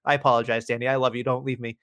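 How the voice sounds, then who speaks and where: A man co-hosting a casual podcast, ranting in an animated way with constant swearing. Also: mid-range voice, racing, clear, resonant tone. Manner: profane, animated, rambling rants. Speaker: podcast co-host.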